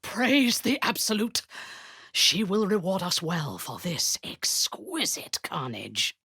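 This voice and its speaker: A low, husky female dark elf commander from a fantasy video game. She is zealous and cruel, giving orders with slow, deliberate menace. Her delivery swings widely in pitch, with a seductive edge. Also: sing-song, dry recording, clean studio sound, exaggerated voice.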